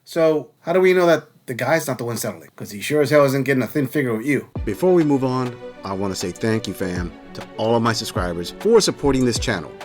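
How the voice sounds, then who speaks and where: Male reaction host, blunt, mocking; quick delivery over faint music. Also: medium pitch, animated, a little mellow. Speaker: commentary channel host. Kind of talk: reaction commentary on video clips.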